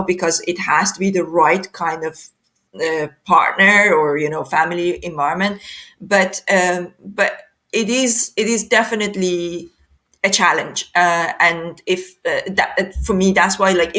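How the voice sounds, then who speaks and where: A woman from Iran hosts a group discussion over a video call. Her voice sits fairly low and she talks loosely, restarting phrases and filling pauses as she goes. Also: fairly bright tone. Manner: many restarts and fillers. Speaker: host.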